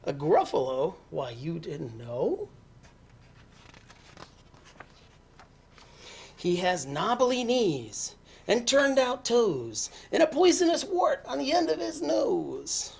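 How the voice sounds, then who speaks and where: A woman's voice: mid-range voice, sing-song, slow pace, gravelly, darkish tone, slightly rough, pitched above the performer's own voice, full character voice. A woman voicing a small, clever brown mouse who bluffs politely and confidently, in a picture-book read-aloud. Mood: mock-ominous boasting. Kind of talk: animated picture-book character reading.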